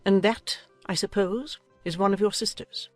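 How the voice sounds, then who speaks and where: A woman's voice: medium pitch, steady tone, moderate pace, dry recording, soft background music, lightly acted. A woman playing a haughty, imperious, ill-tempered older noblewoman in an audiobook drama, speaking in a stiff, formal, commanding way. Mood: stiff, disdainful.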